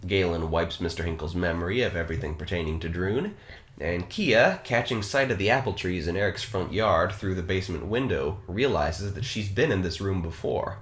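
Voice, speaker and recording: Deep-voiced man, echoey room. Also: animated, moderate pace, a bit bright. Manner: self-conscious, earnest, chuckles often. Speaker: podcast host. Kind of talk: casual podcast narration and chat. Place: room in a home.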